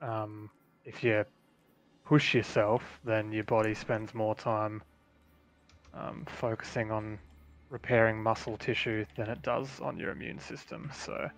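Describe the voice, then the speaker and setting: Earnest gravelly-voiced man explaining. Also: fairly low pitch, level tone, a little slow, faint music behind. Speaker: friend on voice chat. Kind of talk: casual chat.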